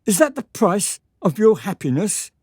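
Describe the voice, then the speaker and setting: An actress playing a stern, haughty noblewoman in a radio drama, speaking deliberately as a mother guarding her family's honour. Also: mid-range voice, sing-song, warm tone, breathy edge, no echo, clean studio sound. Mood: commanding. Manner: haughty, deliberate, clipped commands.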